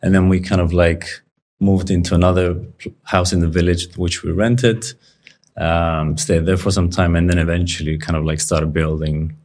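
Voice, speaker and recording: A young man who grew up in Cyprus, whose first language is Bulgarian, talking through his story in a relaxed, reflective way with lots of fillers. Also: low voice, moderate pace. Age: young adult. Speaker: guest. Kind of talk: casual interview conversation.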